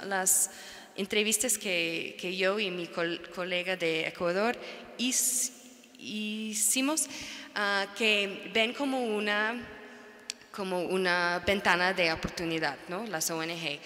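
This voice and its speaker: A woman whose first language is English, speaking as a panelist in an academic talk, halting and searching for words. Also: mid-range voice, fairly even intonation, bright, forceful tone. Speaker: panelist, NGO researcher. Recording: reverberant lecture hall.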